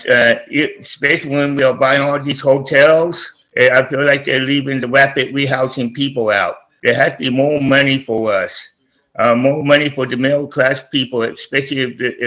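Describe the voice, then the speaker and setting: A man's voice: medium pitch, sharp tone. A man on a panel giving blunt, colloquial, unscripted opinions over a video call. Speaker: panelist, community artist. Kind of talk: impromptu opinionated remarks. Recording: muffled video-call audio.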